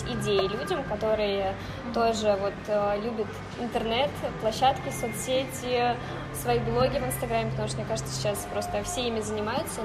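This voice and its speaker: A woman hosting a podcast, interviewing conversationally in an effusive, complimentary manner. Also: fairly high pitch. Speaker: podcast host. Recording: clearly noisy ambient background.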